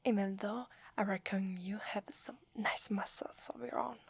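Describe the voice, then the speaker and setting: A woman with an Italian accent voicing a proud young werewolf woman, whispering softly close to the mic and stammering when flustered. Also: medium pitch, steady tone, normal speed, gravelly, slightly rough. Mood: shy, flustered. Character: proud young werewolf woman, gruff but secretly fond. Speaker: ASMR roleplay performer. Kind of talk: whispered ASMR roleplay.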